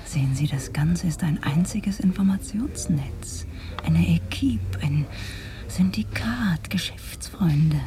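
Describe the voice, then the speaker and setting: A woman's voice: on the low side, expressive, slow. An actress playing an enigmatic young woman working for a syndicate, coolly cryptic and teasing with menace, in a German radio drama. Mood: coolly threatening. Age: young adult.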